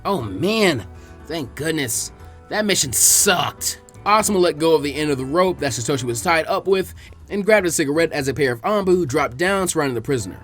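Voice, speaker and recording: A man's voice: a little high, animated, fast, faint music behind. A man reading fiction aloud as a dramatic audiobook narrator. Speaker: fan fiction reader.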